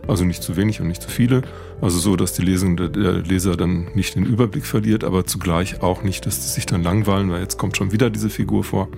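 Deep, gravelly male academic. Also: steady tone, a little mellow, soft background music. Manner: measured, academic explaining. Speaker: expert guest, literature professor. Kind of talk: explanatory interview talk.

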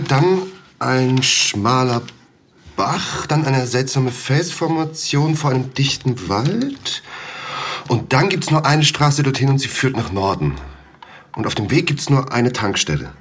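A man plays an urgent young man laying out clues in an audio drama. His mid-pitched voice is lively and animated, and a faint noise sits behind it. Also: a little slow, lightly acted. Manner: urgent, explanatory.

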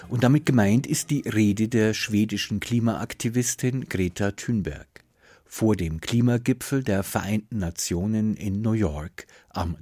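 A man's voice: on the low side, darkish tone, slightly rough, no room sound. A man interviewing as a radio host, putting articulate, reflective questions to a guest.

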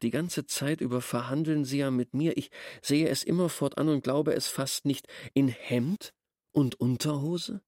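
A man's voice: mid-range voice, unhurried, a little mellow, no echo, close to an everyday voice. Man acting as village schoolteacher, pompous, bureaucratic, formal literary drama, dry studio sound. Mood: indignant, grudging. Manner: pedantic, self-important, bureaucratic. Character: pompous, officious, grudging male village schoolteacher. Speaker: actor in a literary radio drama.

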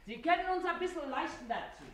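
Shrewd Berlin washerwoman, bossy, quick-tongued, folksy, swinging intonation, radio drama. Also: high-pitched, animated. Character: shrewd, quick-tongued, hard-working washerwoman and sly thief.

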